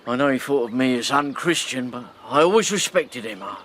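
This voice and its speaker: Cast a man as a rough, halting riverboat captain with a slangy edge. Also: mid-range voice, animated, a bit bright, a little hiss or hum, close to an everyday voice. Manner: blunt, halting, slangy speech. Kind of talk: radio drama acting.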